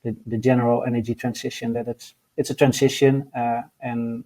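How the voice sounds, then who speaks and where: Dutch-accented man, flat halting speech. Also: medium pitch. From Netherlands. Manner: halting, many fillers and restarts. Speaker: guest, mining entrepreneur. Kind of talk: interview answers.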